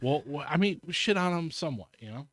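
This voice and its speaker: A man's voice: a little high, expressive, brisk, fairly bright tone. A man co-hosting a podcast, easygoing and enthusiastic in casual chat. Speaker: podcast co-host.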